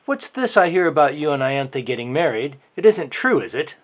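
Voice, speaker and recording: A man's voice: a little high, big pitch swings, moderate pace. A man playing a sarcastic, possessive boss in his forties in a dramatized novel reading, needling as he talks. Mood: scornful. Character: scornful, possessive man over forty, a boss.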